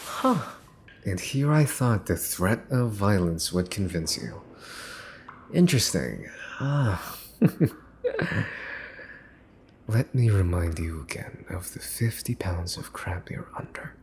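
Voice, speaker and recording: A man playing a smug mafia boss's son in an ASMR roleplay, teasing and condescending as he makes cool, possessive threats with pauses for effect. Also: sits low, animated, darkish tone, slight background noise, full character voice. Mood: condescending, menacing. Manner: teasing, smooth-talking, condescending, pausing for effect. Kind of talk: ASMR boyfriend roleplay, scripted monologue to listener.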